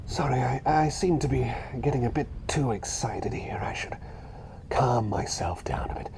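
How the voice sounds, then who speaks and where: A man voicing a kindly, scatterbrained bird doctor in an ASMR roleplay, rambling soothingly between soft talk and breathy whispers. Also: mid-range voice, normal speed, background noise, close to an everyday voice. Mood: savouring, settling down. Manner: rambling, soothing, whimsical, often dropping to a whisper. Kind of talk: ASMR roleplay, personal attention.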